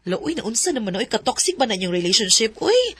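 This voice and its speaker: A woman's voice: on the high side, big pitch swings, a little fast, breathy edge, no echo. A woman acting the lead's frank, teasing friend in a radio drama, giving blunt advice. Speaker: supporting character, the lead's friend.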